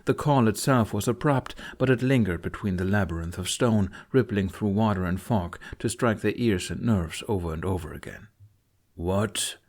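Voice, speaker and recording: A man's voice: fairly low pitch, moderate pace, few pauses, a little mellow. A man reading a fantasy audiobook as scripted narration.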